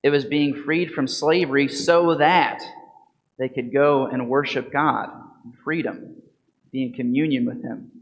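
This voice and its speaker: Calm priest preaching in a reverberant church. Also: male voice, mid-range voice. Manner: calm, measured preaching with rhetorical questions. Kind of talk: gospel reading and homily. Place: church sanctuary at a lectern. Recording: reverberant church from a lectern mic.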